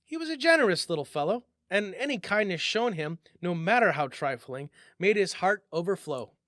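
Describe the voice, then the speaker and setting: A man giving an expressive, theatrical storybook narration as a story reader. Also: sits high, moderate pace, dry recording, studio quality.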